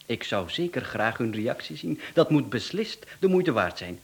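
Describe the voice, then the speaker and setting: An actor playing an unflappable, courteous contract killer and swindler in a radio play, speaking with a Flemish accent and businesslike politeness. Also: male voice, mid-range voice, sing-song, moderate pace, gravelly. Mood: mocking, calm.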